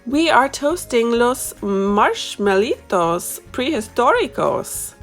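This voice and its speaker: A woman's voice: a little high, big pitch swings, takes it slowly, soft background music, voice pushed up, heavily acted. A woman doing cheerful Chihuahua dogs. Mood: breezy. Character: gang of cheerful laid-back Chihuahua dogs.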